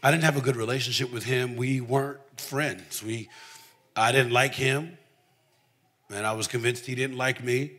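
Forceful, candid male preacher. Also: medium pitch, steady tone, normal speed. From the southern United States. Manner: candid, with emphatic repetition. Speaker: preacher giving testimony. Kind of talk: conversational sermon with personal storytelling.

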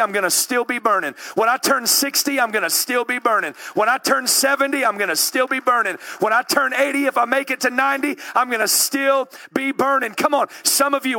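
A man in his thirties preaching a sermon with rising passion, calling out to the congregation and building to shouts. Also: very high-pitched. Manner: calls out to the congregation.

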